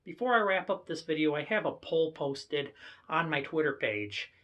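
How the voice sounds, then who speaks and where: A man's voice: a little high, big pitch swings, normal speed, highs cut off. A man with an American accent casually presenting a hobby vlog, walking viewers through equipment. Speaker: amateur radio hobbyist presenter.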